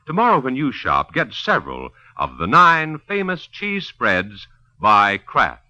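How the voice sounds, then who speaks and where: A man's voice: medium pitch, wildly swooping pitch, a little slow, heavy background noise. A man announcing and narrating in polished 1950s radio style, as salesman and storyteller. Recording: muffled 1950s radio recording.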